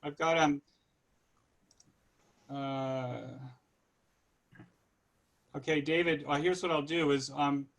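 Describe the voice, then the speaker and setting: American man in his sixties, even-pitched. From California, United States. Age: 60s. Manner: rambling, halting, conversational. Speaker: guest presenter. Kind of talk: informal online club presentation.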